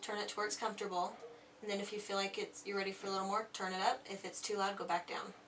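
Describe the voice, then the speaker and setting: A woman's voice: mid-range voice, little pitch movement, fast, fairly bright tone, recorded from a distance, a little hiss or hum, poor audio. Reassuring woman explaining step by step. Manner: patient, reassuring, checks understanding often. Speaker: audiologist. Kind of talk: explaining device settings to a patient. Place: clinic room.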